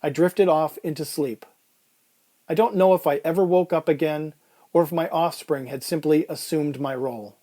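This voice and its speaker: Mellow, weary dying man acted by a man. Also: fairly high pitch, moderate pace, lightly acted. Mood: drowsy, peaceful. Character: weary, resigned dying man telling his strange tale. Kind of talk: first-person dramatic monologue in old-time radio style.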